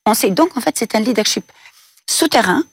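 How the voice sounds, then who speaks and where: A woman's voice: on the high side, expressive, moderate pace, slightly rough, dry recording, studio quality. A middle-aged Italian woman with an Italian accent, giving a reflective personal account as an interview guest. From Italy. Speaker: interview guest, business leader.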